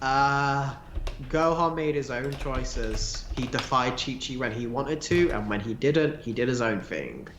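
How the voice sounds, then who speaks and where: Casual, opinionated male fan on a live stream. Mid-pitched, emphatic, a bit sweary, recorded in an echoey room. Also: lively intonation, measured pace, a bit bright, a little hiss or hum. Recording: roomy, echoey sound.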